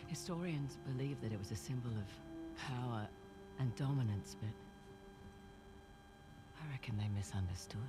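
A woman's voice: very deep voice, moderate pace, over loud music. A woman in her thirties playing a wry, casual treasure hunter in a naturalistic cinematic game style. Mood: awed, thoughtful. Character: wry adventurous woman treasure hunter, moved by ancient relics. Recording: clear ambient noise behind voice.

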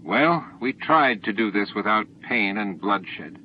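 A man voicing a hypocritical alien leader with measured, formal, falsely regretful speech in an audiobook. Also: on the low side, expressive, a little hiss or hum. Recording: dull lo-fi tape audio with music.

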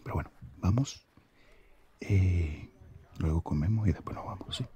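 A man speaking softly and affectionately in an intimate ASMR boyfriend roleplay, with a husky, gravelly voice and an unhurried manner. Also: deep voice. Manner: soft-spoken, affectionate, unhurried.

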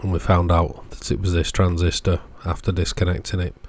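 A man's voice: low-pitched, flat delivery, brisk. A middle-aged man narrating casual workbench repairs as a channel host, explaining step by step in short, plain phrases.

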